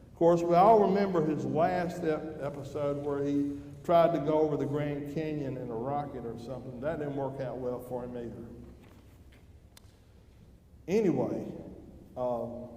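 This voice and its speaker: Older male devotional speaker, folksy and conversational, mellow tone, slightly high pitch. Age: older, grandfather. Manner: folksy, conversational, asks the listeners questions. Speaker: devotional speaker at a church service. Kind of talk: devotional talk. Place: church hall during an evening service. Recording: roomy, echoing hall with background noise.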